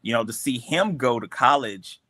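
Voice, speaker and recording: Guy on a stream chatting with his cast, bright forceful voice, lots of um and you know, dry close sound. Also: mid-range voice, animated, moderate pace, dry recording. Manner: rambling, many fillers and restarts, laughs often. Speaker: creator of the comic, the cast's boss. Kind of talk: casual livestream chat.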